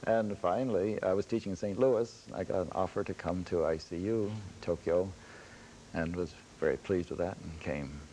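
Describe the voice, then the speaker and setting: Older man, deep voice, measured explanations, faint background noise. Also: mellow, rough recording. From China. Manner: measured, explanatory, with small laughs. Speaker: guest professor. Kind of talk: radio interview.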